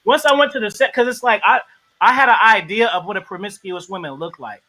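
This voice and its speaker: A man hosting a casual livestream interview, animated and shouting out viewers in the chat. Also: high-pitched, fast, low-quality recording.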